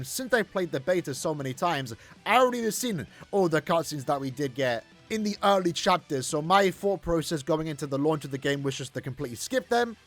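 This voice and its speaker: Guy with a pretty high voice chatting over gameplay, bit of music in the back. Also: a bit bright. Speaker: gaming video host. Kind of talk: casual gameplay commentary.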